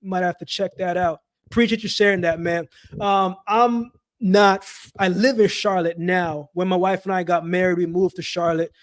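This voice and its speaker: High-pitched man chatting casually on a livestream. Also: steady tone, moderate pace, dry recording, clean studio sound. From Jamaica-born, raised in Canada. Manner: slangy, repeats phrases for emphasis. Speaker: livestream host. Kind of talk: casual live chat with viewers, boxing commentary.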